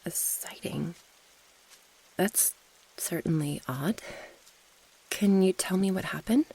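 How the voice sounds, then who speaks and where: A young mage girl acted by a woman speaks in a soft, breathy voice with some creak. She is earnest and protective, in the close style of a fantasy ASMR roleplay. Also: fairly low pitch, slow, heavily acted, rough recording. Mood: concerned.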